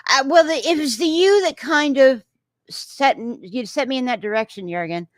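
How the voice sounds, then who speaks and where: Slow-talking Texan woman streamer. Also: sits high, animated, fairly bright tone, no room sound, studio quality. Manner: rambling, many "um"s and restarts. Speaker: livestream host reading viewer comments. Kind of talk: casual livestream chat.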